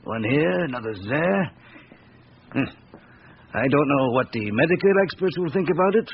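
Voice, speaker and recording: A male voice with lively swoops in pitch, as an observant policeman musing aloud in a classic radio mystery. He is hesitant and speculative. The recording is dull and a little noisy. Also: normal speed, creaky. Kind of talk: classic radio drama acting.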